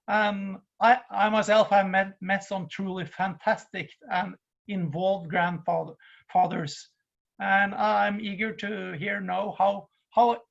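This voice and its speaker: A woman moderating a conference, introducing the next speaker haltingly, with many fillers and repeated words. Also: mid-range voice, vocal fry, dull audio.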